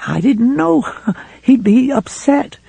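A man's voice: sits high, expressive, normal speed, creaky, warm tone, husky, dry recording, heavily acted. Man voicing a frightened, tearful young mother. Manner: fretful, pleading, rising with fear.

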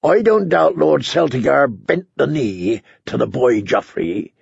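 Man acting a gravelly, blunt, earnest knight. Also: mid-range voice, animated, unhurried, dry recording, highs cut off, full character voice. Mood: impassioned pleading. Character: blunt, earnest lowborn knight pleading boldly.

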